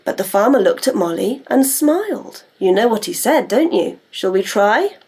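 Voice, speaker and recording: A British woman telling a story to young children in an animated way and coaxing them to join in. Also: mid-range voice, normal speed, slightly rough.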